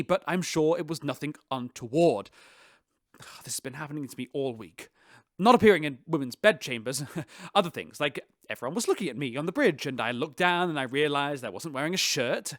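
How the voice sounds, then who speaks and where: A flustered male starship captain, rather high in pitch and dryly recorded. He is defensive and earnest, over-explaining like someone who feels sorry for himself in a radio drama. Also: a bit bright, no echo, clean studio sound.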